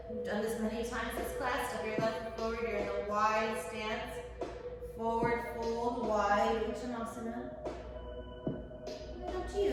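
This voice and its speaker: Slightly hoarse woman leading yoga, a touch high, calm and unhurried, distant from the mic. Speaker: yoga teacher. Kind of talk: guided yoga class instruction. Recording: echoey hall from afar. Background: loud background music.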